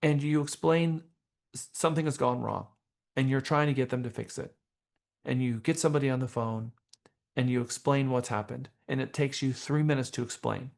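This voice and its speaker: A man teaching a professional class as a trainer, speaking in a deliberate, emphatic way with rhetorical questions. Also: mid-range voice, vocal fry, a little mellow. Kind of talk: motivational training seminar talk.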